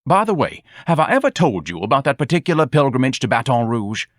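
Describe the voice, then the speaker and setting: Man voicing a pompous grandiloquent young man, self-pitying, lofty drawl, higher than usual, dry sound. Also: big pitch swings, fast, no room sound, close to an everyday voice. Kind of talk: audiobook dialogue.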